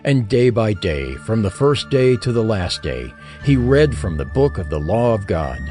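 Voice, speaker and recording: A man reading scripture as the narrator of an audio drama, in a measured, formal manner. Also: lowish voice, big pitch swings, mellow, soft background music.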